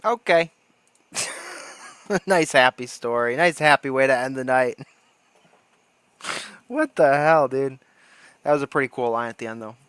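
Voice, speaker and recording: A man's voice: mid-range voice, a little slow, long pauses, fairly bright tone, clean tone, no room sound. A man hosting a livestream, giving casual, slangy and irreverent reaction commentary. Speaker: livestream host.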